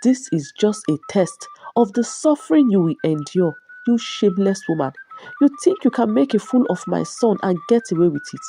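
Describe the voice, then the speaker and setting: A cruel older mother-in-law, played by a woman narrator, scolds and threatens in a dramatic folktale. Her delivery is scornful and animated, with faint music behind. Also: mid-range voice, moderate pace. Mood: scornful rage.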